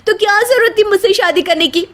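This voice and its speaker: A woman's voice: very high-pitched, fairly quick, voice pushed up. A woman voicing an envious, demanding young wife in a family story, insistent and exclamatory. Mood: angry, demanding. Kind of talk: dramatised Hindi family storytelling.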